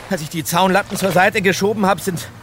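An actor playing an earnest, observant teenage boy detective in a radio play, recounting details haltingly with repeated words. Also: sits high, steady tone, fairly bright tone, a little hiss or hum. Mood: shaken. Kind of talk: German radio play acting.

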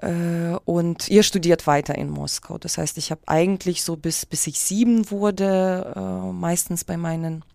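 Creaky-voiced woman, halting and searching. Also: fairly low pitch, steady tone, darkish tone, dry recording. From Kyiv, Ukraine. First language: Russian and Ukrainian. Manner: halting, searching for words, self-interrupting. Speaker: interview guest, artist. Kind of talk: casual reflective interview talk.

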